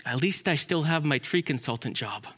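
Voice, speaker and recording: A man voicing an excitable, stressed squirrel in a children's story, whining and exclaiming in frustration. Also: fairly high pitch, moderate pace, voice pushed down. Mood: worried. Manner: whiny exclamations, screams when upset.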